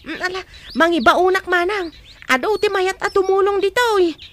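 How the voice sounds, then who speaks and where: A very high-voiced helpful neighbor. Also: female voice, normal speed, fairly bright tone. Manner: eager, helpful. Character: helpful young neighbor woman.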